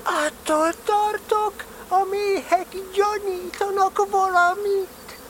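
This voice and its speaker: Naive, self-important small toy bear, bright, forceful voice, hesitant and dignified, children's radio play, noisy ambience behind. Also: very high voice, steady tone. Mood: anxious, calling out. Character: naive, pompous little honey-loving toy bear.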